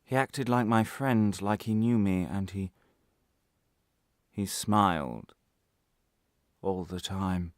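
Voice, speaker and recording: An actor playing a weary, bitter prisoner held without trial in a radio monologue, speaking in a halting, intense confessional way. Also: male voice, sits low. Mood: haunted, intense.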